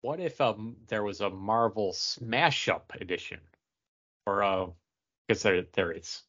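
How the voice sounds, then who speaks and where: A man with a fairly low voice joins a podcast as co-host. He speaks in casual conversation. The recording sounds slightly muffled and lo-fi. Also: a little slow, a bit bright. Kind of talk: casual chat. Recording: dull lo-fi recording.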